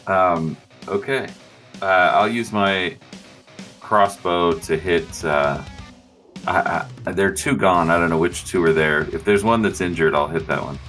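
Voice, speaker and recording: A man's voice: deep voice, measured pace, fairly bright tone, soft background music. A man playing a tabletop game in a casual, hesitant and slightly grumbling way.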